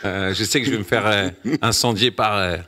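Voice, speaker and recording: A man's voice: on the low side, fairly even intonation, brisk, sharp tone. A man from Brittany on a football fan panel, joking and dismissive in casual chat. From Brittany, France.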